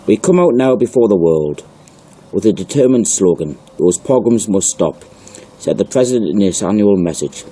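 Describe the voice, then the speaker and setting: A man's voice: on the low side, animated, normal speed, mellow, husky. A man giving informal video commentary and reading passages aloud, emphatically repeating key phrases. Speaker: narrator presenting old newspaper articles.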